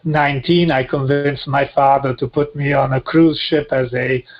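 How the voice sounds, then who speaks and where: A man in his sixties from Rome, with an Italian accent, giving reflective interview answers in halting, deliberate phrases. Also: medium pitch, steady tone, lo-fi sound. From Rome, Italy. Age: 60s. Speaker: guest.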